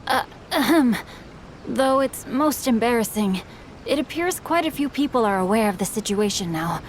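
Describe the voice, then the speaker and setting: A courteous young male swordsman, voiced by a woman, formal and earnest in every word. The voice sits a little high for a young man. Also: expressive, moderate pace, a little hiss or hum, close to an everyday voice. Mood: sheepish.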